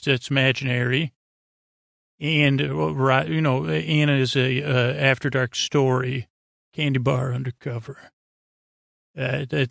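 A man speaks in a gravelly, fry-laden voice, recorded very dry. He hosts a sleep podcast as a wandering monologue. His delivery is halting and whimsical, looping back on itself and leaving thoughts half finished. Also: mid-range voice, fairly bright tone, no room sound. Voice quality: creaky. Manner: meandering, halting, repeats and trails off mid-thought; whimsical, silly tangents. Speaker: podcast host. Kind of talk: rambling bedtime podcast monologue.

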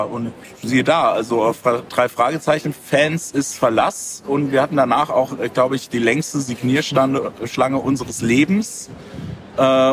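A man speaking as a guest author in a casual interview, colloquial and wry in the way he talks. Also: mid-range voice. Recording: clearly noisy, ambient background noise.

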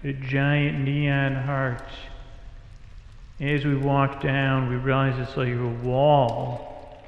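A man telling an improvised, sleepy bedtime story in a calm, soothing, hesitant and rambling way. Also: medium pitch. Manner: hesitant, rambling, halting delivery with drawn-out pauses. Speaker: host of a bedtime storytelling podcast. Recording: roomy echoing reverb, muffled, faint music.

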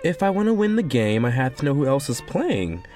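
A man's voice: mid-range voice, very animated, fairly quick, darkish tone, faint music behind, lightly acted. An actor playing an ambitious, self-justifying young man in an audio drama. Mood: placating.

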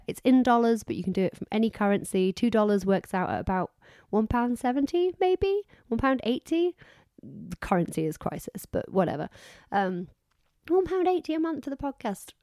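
A woman's voice: medium pitch, mellow, dry recording, studio quality. A woman co-hosting a podcast in casual, effusive, rambling chat while she is losing her voice. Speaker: podcast co-host.

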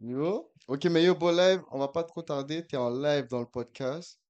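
A man's voice: a little high, normal speed, darkish tone, highs cut off. A man hosting a casual podcast, chatting in slangy mixed with English. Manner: slangy, mixing French and English. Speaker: podcast host. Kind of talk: casual chat, prank-style phone calls.

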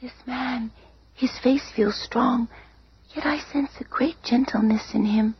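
Gentle young stepdaughter, high breathy pleading voice, muffled old radio serial. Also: female voice, unhurried, slight background noise. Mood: hushed, uneasy. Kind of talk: old-time radio drama acting.

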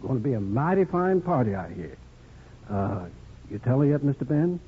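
An actor playing a kindly, loyal ex-cavalry porter with a folksy, easygoing way of talking in a 1950s radio drama. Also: male voice, medium pitch, big pitch swings, normal speed, breathy edge. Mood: kindly, doting. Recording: tinny old radio recording, music behind.

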